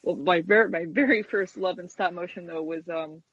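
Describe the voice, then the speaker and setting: A woman's voice: on the low side, normal speed, husky, no room sound, lo-fi sound. A woman in her thirties chatting casually and enthusiastically as an interview guest. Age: 30s. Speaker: guest, stop-motion animator.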